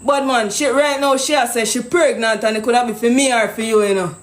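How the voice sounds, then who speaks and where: A man's voice: very high-pitched, steady tone, brisk, fairly bright tone, reverberant. A man with a Jamaican accent making a prank phone call, talking pushy and accusing in thick patois. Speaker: prank caller.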